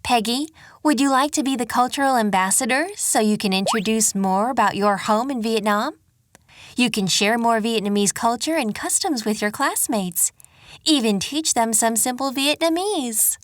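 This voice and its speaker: An actress playing a female schoolteacher who speaks to her class in a clear, gently coaxing way, in a scripted children's educational drama. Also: on the high side, moderate pace, no echo, lightly acted. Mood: encouraging. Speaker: teacher.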